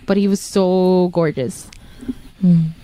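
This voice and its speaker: A woman's voice: lowish voice, steady tone, slow, warm tone. A woman in her thirties co-hosting a casual podcast chat, blunt and wry with casual swearing. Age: 30s. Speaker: podcast co-host.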